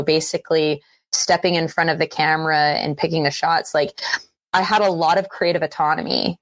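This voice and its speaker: A woman's voice: low voice, no echo. A woman from Western Canada with a Canadian accent, speaking earnestly and thoughtfully as a filmmaker guest in a reflective interview.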